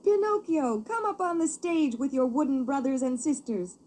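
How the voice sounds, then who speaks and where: A woman voicing friendly, excitable wooden stage puppets in a children's storybook, calling out gleefully. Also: sits high, moderate pace, a little hiss or hum, pitched above the performer's own voice, close to an everyday voice.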